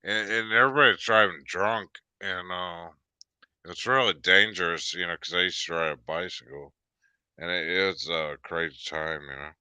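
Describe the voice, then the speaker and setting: A man in his fifties rambles through a casual chat, stumbling over restarts and fillers, then bursting out now and then. His voice is deep yet bright and crisp, and his talk comes slowly. The sound is dry and close. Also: no echo.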